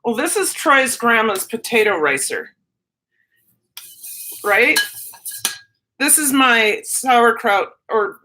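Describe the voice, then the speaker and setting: Minnesota lady rambling on a live stream at home, kinda high and bright. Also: slight background noise. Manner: chatty, rambling, self-interrupting. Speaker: teacher and livestream host. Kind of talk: casual livestream chat, reading viewer comments.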